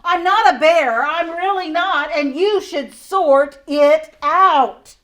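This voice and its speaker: A woman voicing a grumpy male koala who is fed up with being called a bear, read aloud from a picture book in slow, indignant rhymes. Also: very high-pitched, voice pushed up, exaggerated voice. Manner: indignant, slow, loud rhyming delivery.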